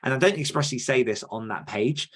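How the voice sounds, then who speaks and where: A man's voice: mid-range voice, a little fast, fairly bright tone. A man hosting a podcast in a casual, rambling and enthusiastic chatty style. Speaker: podcast host.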